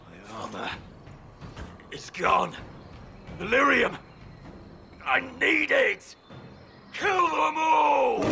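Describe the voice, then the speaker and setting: An actor playing a bitter, fanatical armored general in a video game, speaking with menacing, boastful deliberation over background noise. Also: male voice, high voice, creaky, exaggerated voice. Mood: enraged, desperate. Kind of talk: dramatic video game acting.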